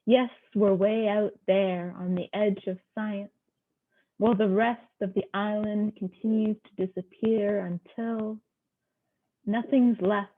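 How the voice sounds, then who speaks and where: A woman's voice: medium pitch, fairly even intonation, takes it slowly. A woman with a middle-register voice reads at an online poetry gathering. Her delivery is a recitation, and the audio is thin and narrow, like a phone call. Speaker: poetry reader at an online open mic.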